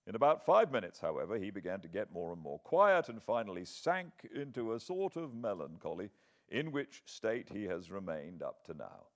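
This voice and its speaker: A British man narrating a classic novel as an audiobook, with measured, expressive dramatic storytelling. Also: lowish voice. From Britain.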